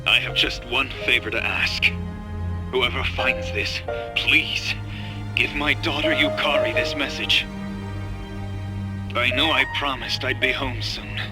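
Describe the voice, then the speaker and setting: A man's voice: mid-range voice, fairly even intonation, takes it slowly, pauses often, music in the background, lightly acted. A man playing a pleading, remorseful scientist father. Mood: tender. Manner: earnest, pleading. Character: middle-aged scientist father, grave, remorseful. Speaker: character in a video message. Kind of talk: game cutscene voice acting.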